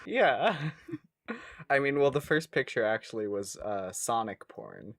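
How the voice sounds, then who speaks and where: Giggly young-sounding man with a lively, swooping pitch. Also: slow, creaky, dry recording, clean studio sound. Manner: giggly, joking. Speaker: podcast co-host. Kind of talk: casual chat.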